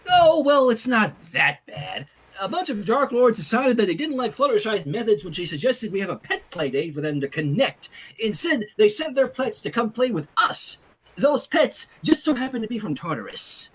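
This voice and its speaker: Man voicing a high, frazzled young princess. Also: very animated, moderate pace, fairly bright tone, husky, full character voice. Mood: nonchalant, weary. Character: worried, rambling young female unicorn princess, frazzled aunt.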